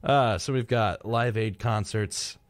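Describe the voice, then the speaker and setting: A man's voice: fairly low pitch, slow, dry recording. A young man hosting a radio show, chatting casually and reading out facts in an informal, wry way. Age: young adult.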